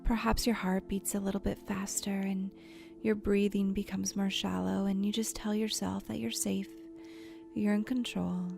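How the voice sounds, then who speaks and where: A woman guides a visualization in a soft, mellow voice with noticeable vocal fry. She speaks gently and soothingly, leaving unhurried pauses and sometimes slipping into breathiness. Faint music plays underneath. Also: medium pitch, level tone. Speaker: guided meditation host. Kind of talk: guided imagery meditation narration. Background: soft background music.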